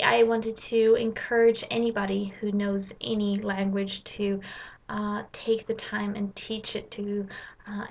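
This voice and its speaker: A dull, lo-fi home recording carries a woman. Her voice has plenty of vocal fry and sits at an ordinary female pitch, moving slowly. She talks casually as a vlogger, hesitating and pausing between short phrases. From Sweden. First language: Swedish. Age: 20s. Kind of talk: casual personal vlog.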